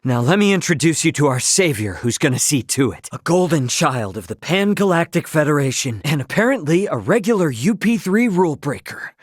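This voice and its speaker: A man's voice: fairly high pitch, very animated, brisk, hardly any pauses, no room sound, studio quality. An actor playing a brash, informal young starship captain, a cocky but loyal man, in a video game. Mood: reassuring, confident. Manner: informal, slangy, plain-spoken. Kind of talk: English game dub character dialogue.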